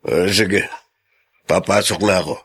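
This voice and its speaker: A man playing a patient, long-suffering father in a radio drama, gentle and subdued. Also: sits low, steady tone, measured pace, husky, full character voice. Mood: resigned.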